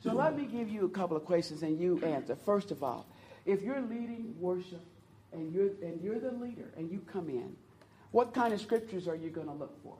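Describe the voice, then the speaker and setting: A woman with a low voice leading a church teaching on worship, talking earnestly and encouraging her listeners to join in. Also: animated, a little fast, dark tone, a little hiss or hum. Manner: earnest, encouraging, speaks to the audience and invites replies. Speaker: worship leader teaching a group. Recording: roomy sound from a distant mic, faint ambience.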